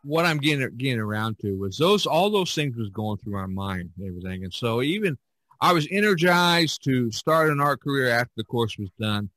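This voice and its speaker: A man's voice: mid-range voice, very animated, moderate pace, a bit bright, no room sound, poor audio. A man hosting a casual podcast discussion, telling his story in a rambling, emphatic way. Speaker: podcast host and artist.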